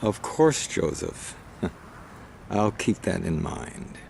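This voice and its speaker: A man playing a sly, sneering crime boss in a video game, speaking with slow, mocking menace. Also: deep voice, big pitch swings, vocal fry, background noise, heavily acted. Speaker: gang's scheming partner. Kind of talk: video game cutscene acting.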